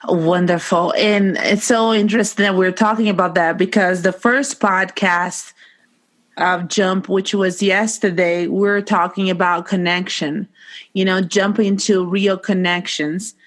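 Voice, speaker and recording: A woman's voice: on the low side, fairly even intonation, measured pace. A woman hosting a podcast interview, asking questions with enthusiasm. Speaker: podcast host.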